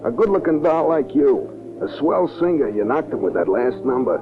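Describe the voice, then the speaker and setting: An actor playing a friendly, nosy bartender who talks in folksy slang in a 1940s radio drama. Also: male voice, medium pitch, very animated, moderate pace, close to an everyday voice. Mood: friendly, curious. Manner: slangy, colloquial. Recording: tinny 1940s radio, loud music behind.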